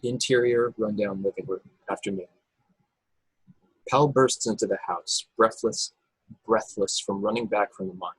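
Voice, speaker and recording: Male narrator, very raspy husky tone, lowish pitch, dry close sound, scripted table-read narration. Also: steady tone, normal speed, no echo, poor audio. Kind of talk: reading screenplay directions at a table read. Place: remote video call.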